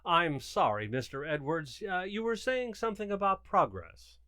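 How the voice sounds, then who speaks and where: A man's voice: high voice, big pitch swings, moderate pace, sharp tone, dry recording, clean studio sound, exaggerated voice. A man playing a polite, flustered suburban husband in a radio comedy, hesitant and placating. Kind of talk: radio comedy theater acting.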